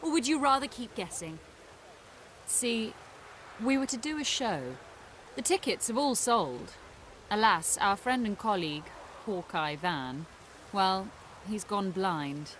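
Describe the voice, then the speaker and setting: An actress playing a shrewd, imperious circus performer in a video game, speaking in a crisp, theatrical way. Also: female voice, medium pitch, lively intonation, unhurried, long pauses, fairly bright tone, slight background noise. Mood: coolly bargaining. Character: shrewd, commanding female circus performer and troupe leader. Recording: music behind the voice. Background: music.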